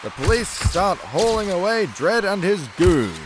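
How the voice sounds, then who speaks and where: A man's voice: high-pitched, big pitch swings, unhurried, noisy background. A man narrating a scripted superhero comedy radio play with a dramatic announcer's delivery.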